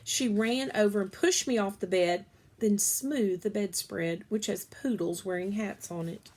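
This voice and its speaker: A woman storyteller reads a children's book aloud in a mid-range voice. Her delivery is expressive, and she stretches key words for the listener. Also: mid-range voice, a little slow.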